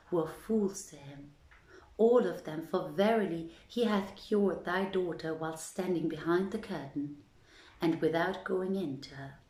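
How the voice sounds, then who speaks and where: A woman reads the part of an eager, deferential palace eunuch in a storybook tale. The words are courtly and measured, in a low voice with roomy echo. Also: dark tone, recorded from a distance. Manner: formal, courtly address. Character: deferential male palace eunuch, eager servant bearing news. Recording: roomy echo with music underneath.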